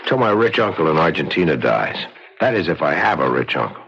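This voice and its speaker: An actor playing a skeptical, wisecracking bartender neighbor in a 1970s radio drama, casual, sardonic and streetwise. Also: male voice, extremely low pitch, sing-song, a little fast, vocal fry, noisy background, exaggerated voice. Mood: wry, probing. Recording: dull old radio broadcast recording.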